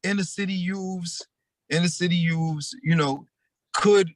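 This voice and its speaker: A man's voice: high-pitched, fairly even intonation, unhurried, no room sound. A man who is a former student, speaking casually and often answering "yes, sir" and "that's right". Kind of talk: casual group chat.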